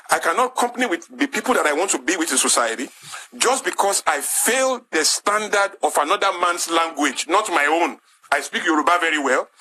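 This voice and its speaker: A man's voice: fairly high pitch, bright, forceful tone, slight background noise, low-quality recording. A man with a Nigerian English accent making an impassioned talk-show argument, emphatic and rhetorical, repeating his key phrases. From southwest Nigeria.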